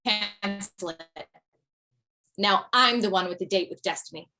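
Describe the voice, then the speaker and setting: A woman's voice: mid-range voice, measured pace, sharp tone, a little hiss or hum. A woman playing a restless, wry middle-aged mother in an amateur table read over a video call. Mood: determined.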